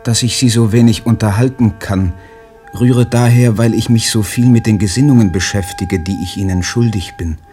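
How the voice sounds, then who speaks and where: Man playing withdrawn poet son, deferential, stilted letter recitation, low mellow voice, radio drama. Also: steady tone, normal speed, soft background music, close to an everyday voice. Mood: stiff, submissive. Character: submissive, withdrawn poet son writing stiffly formal letters.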